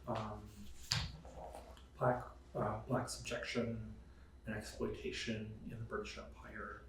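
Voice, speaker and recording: A man speaking on an academic panel, halting with many fillers. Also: fairly low pitch, darkish tone. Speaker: panelist, historian. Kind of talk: spontaneous academic panel remarks. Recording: roomy echo, distant mic, faint noise.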